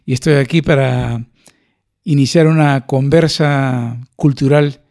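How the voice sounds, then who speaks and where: A Peruvian man speaking as a guest musician in an interview, halting and reflective, correcting himself as he goes. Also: mid-range voice, fairly even intonation, a little mellow. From Lima, Peru. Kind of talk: conversational interview.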